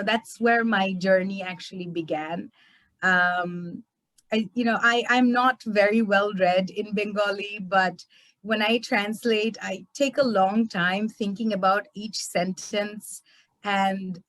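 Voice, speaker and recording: A woman's voice: mid-range voice, fairly bright tone, no room sound. Chatty young woman on a video call. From Bangladesh. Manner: chatty, with many fillers and restarts. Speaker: award-winning translator. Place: small indoor room. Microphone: laptop webcam on video call.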